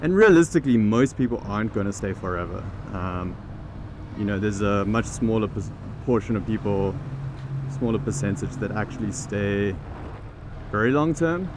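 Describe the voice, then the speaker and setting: A man's voice: fairly low pitch, normal speed. A man talking casually and thinking aloud as he rides a motorbike through city traffic outdoors. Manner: relaxed, chatty, thinking aloud with restarts. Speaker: vlogger and teaching program founder. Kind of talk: casual talk to camera while riding. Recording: traffic noise behind.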